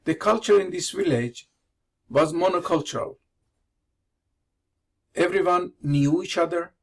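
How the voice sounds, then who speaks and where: Measured Iranian-born man lecturing. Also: fairly high pitch. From Iran. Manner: measured, deliberate delivery in non-native English. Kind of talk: prepared lecture with slides.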